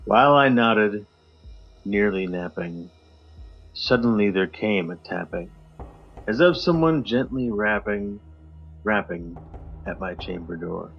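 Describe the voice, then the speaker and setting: A man co-hosting a podcast over a remote call, playful in chat and reading a poem dramatically, with his voice dropping low at the ends of lines. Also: animated, slow pace, creaky, pauses often, husky, highs cut off, faint music behind. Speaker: podcast co-host.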